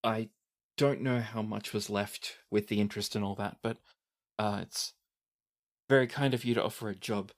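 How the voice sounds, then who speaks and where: A man's voice: fairly low pitch, steady tone, moderate pace, natural voice. A man voicing a dazed, courteous young fanged man just brought back to life, hesitant and bewildered, in a tabletop game. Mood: dazed, downcast. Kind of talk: improvised tabletop roleplay.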